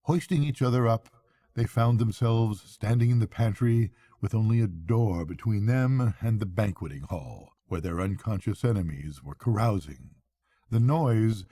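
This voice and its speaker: An older man reading a bedtime story as a grandfather would, in expressive storybook narration. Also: fairly low pitch, unhurried, husky, no echo.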